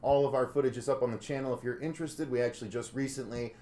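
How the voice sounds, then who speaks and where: A man's voice: medium pitch, steady tone, fast, warm tone, reverberant. A young adult man hosting a podcast in casual, joking chat, often cutting in with questions. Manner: upbeat, joking, interrupts often. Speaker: podcast host.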